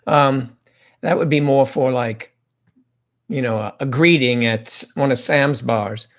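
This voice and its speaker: A man hosts a casual livestream, chatting at an easy, medium pitch. He rambles from topic to topic and reads viewer comments aloud to his guests. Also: slow. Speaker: livestream host.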